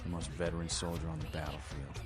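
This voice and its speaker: An American actor playing a gruff, defiant vampire rebel leader in a video game, blunt and streetwise with folksy slang. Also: male voice, a bass voice, flat delivery, fast. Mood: scornful, hard. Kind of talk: video game dialogue acting. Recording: background music under the voice.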